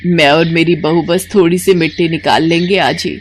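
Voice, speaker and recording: A woman voicing a poor, worried elderly village mother-in-law who talks in a weary, plaintive, blunt way in an animated story. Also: fairly low pitch, moderate pace, natural voice. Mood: pleading. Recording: noticeable background noise.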